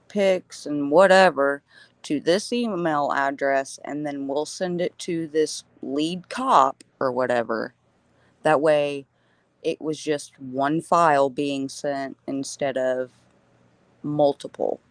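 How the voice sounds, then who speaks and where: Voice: medium pitch, dry recording. A person chatting casually on a live stream, choosing words carefully and hesitantly.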